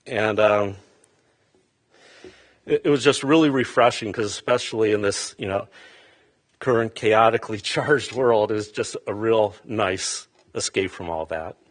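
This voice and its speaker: A man giving a halting funeral eulogy for his mother in a funeral chapel, with many fillers and restarts. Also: fairly low pitch.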